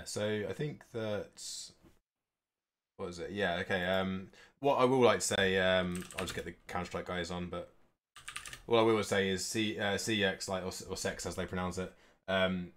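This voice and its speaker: A man with a low voice and a fairly flat delivery hosts a casual business update. He talks informally and off the cuff, feeling his way through his points a little hesitantly. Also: unhurried, a little hiss or hum. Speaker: esports organisation co-owner hosting an update stream.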